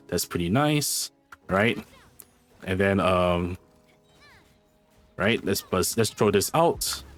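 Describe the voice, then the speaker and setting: A man hosting a gaming channel, talking through a game guide in a casual, rambling way with frequent self-corrections. His voice is a little hoarse because he is losing it. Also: fairly low pitch.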